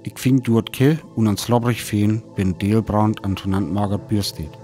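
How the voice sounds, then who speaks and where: An actor with a North Frisian accent playing a weary lone survivor of an invasion in a radio play, telling it in sparse, dramatic phrases. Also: male voice, on the low side, moderate pace, warm tone, faint music behind, natural voice. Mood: weary, wary. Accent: North Frisian (Föhr).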